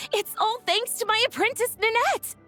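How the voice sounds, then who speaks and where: A woman's voice: extremely high pitch, sharp tone, heavily acted. A woman playing a spirited, self-assured young female film director in a video game, speaking with breezy theatrical confidence. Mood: amused. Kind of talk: animated game voice acting.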